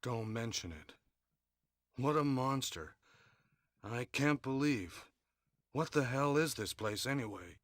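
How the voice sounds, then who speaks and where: A man playing a gruff, modest police partner in a 1990s video game, with stilted, drawn-out delivery. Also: mid-range voice, animated, dry recording, studio quality. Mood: gruff, stunned.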